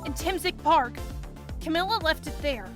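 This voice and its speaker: A woman's voice: sits high, animated, moderate pace, over music. A woman voicing an eager, earnest young female detective in a game fandub, speaking with emphatic determination. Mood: eager, urgent.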